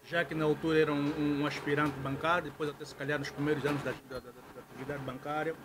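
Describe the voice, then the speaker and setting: A man's voice: on the high side, little pitch movement, fast talker, background noise. A man with an Angolan accent hosting a conversational sports interview, teasing and interjecting as he goes. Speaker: interviewer, sports show host.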